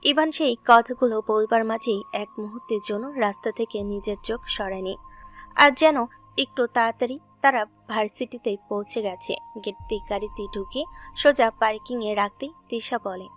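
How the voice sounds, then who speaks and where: Female narrator, slightly high voice, scripted dramatic storytelling over soft music. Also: moderate pace.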